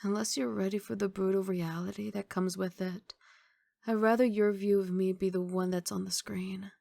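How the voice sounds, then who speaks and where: Casting: actress as wistful female mafia boss girlfriend for ASMR roleplay. Soft, gravelly, guarded but loving, very dry close sound. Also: medium pitch, flat delivery, normal speed, no room sound, natural voice. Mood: serious, regretful. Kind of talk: intimate ASMR roleplay monologue.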